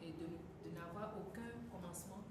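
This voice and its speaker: A woman's voice: lowish voice, fairly even intonation. A woman interprets a sermon phrase by phrase, often correcting herself and filling gaps with hesitations. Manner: quick, self-correcting, hesitant fillers. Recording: echoey hall from far off, noisy.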